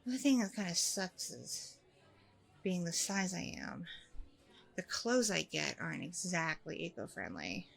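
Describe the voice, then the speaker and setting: A woman playing a chatty, candid, self-deprecating single mom on a blind date in a casual audio roleplay, rambling informally with a creaky voice. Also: lowish voice, sing-song, unhurried, full character voice. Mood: wistful, mildly frustrated. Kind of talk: ASMR audio roleplay, casual conversational acting.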